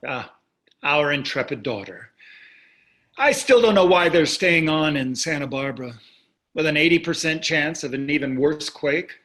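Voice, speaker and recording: Animated, curmudgeonly older husband played by a man. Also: on the high side, moderate pace. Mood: puzzled. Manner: glib, dismissive. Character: wealthy, breezy, curmudgeonly older husband and father. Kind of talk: staged play reading.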